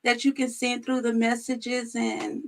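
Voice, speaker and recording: High, slow, gravelly-voiced woman. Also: little pitch movement. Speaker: guest. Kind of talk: casual chat.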